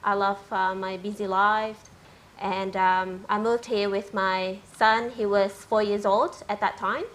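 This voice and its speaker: A woman from Indonesia, telling her story as a panelist, hesitant and pausing often. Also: medium pitch, flat delivery, a bit bright. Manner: hesitant, with frequent ums and non-native grammar.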